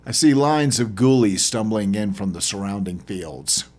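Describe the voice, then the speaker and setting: Guy reading his own novel as an audiobook, kinda low voice, really animated and dramatic. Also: normal speed. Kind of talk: dramatic first-person audiobook narration.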